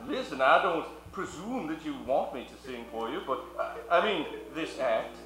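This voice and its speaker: A man playing a sly, mysterious underworld caller, coy and halting, in a comic old-time radio play. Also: a little high, lively intonation, pushed and bright, soft background music. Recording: echoey room with hum.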